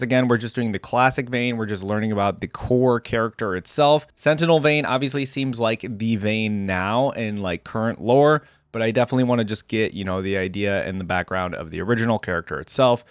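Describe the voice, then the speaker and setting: A man's voice: medium pitch, no room sound, clean studio sound. A man hosting a reaction video, giving casual, musing commentary on game voice lines in a conversational way.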